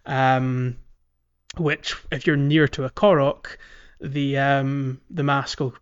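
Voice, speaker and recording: A man's voice: mid-range voice, flat delivery, slow, clear, resonant tone, lo-fi sound. A man giving casual, chatty gaming commentary as a podcast host, telling things informally.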